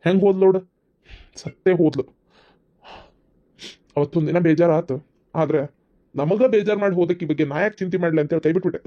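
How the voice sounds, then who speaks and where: A man's voice: a little high, fast talker, pauses often, natural voice. A man voicing a stern, proud grandfather in a cartoon family drama, scolding and dismissive, with a North Karnataka accent. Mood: stern, bitter. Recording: dull, muffled lo-fi sound.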